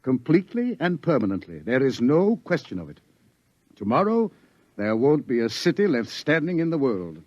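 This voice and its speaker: A grave scientist in a lo-fi 1950s radio play, lecturing in a measured, ominous tone. His mid-pitched voice rises and falls with lively emphasis. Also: no echo. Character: grave older scientist warning of doom. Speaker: doctor. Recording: dull 1950s radio broadcast.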